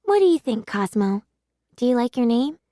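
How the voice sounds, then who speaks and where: Woman as an eager, high-pitched little girl. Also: normal speed, dry recording, studio quality. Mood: delighted. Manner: excitable, sweet, earnest. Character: eager, affectionate young girl with a pet slime. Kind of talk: children's audiobook read-aloud.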